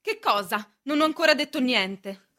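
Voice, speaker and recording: A woman's voice: sits high, lively intonation, normal speed, bright, forceful tone. A woman playing a condescending, formally polite deputy director in a radio drama. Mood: coolly polite. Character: smoothly officious, condescending deputy bank director. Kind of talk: radio stage drama acting.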